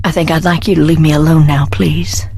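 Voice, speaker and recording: An actress playing a guarded, bitter outcast in a video game, husky and sardonic in a defensive way. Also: female voice, low voice, flat delivery, fairly quick, a little mellow, slight background noise. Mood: wounded, guarded. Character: guarded, wounded, bitter outcast young woman.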